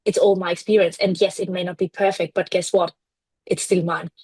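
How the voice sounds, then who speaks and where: Woman, from Austria, English speaker, breathy tone, blunt and animated, dry sound. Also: fairly low pitch, fairly quick, dry recording. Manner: frank, animated, laughs often. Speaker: podcast guest, brand strategist. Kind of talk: casual interview chat.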